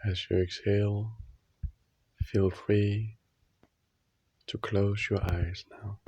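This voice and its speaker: A man leading a guided sleep meditation in a soothing, instructional manner, leaving long pauses between short phrases. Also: lowish voice, little pitch movement, mellow, husky, dry recording, rough recording.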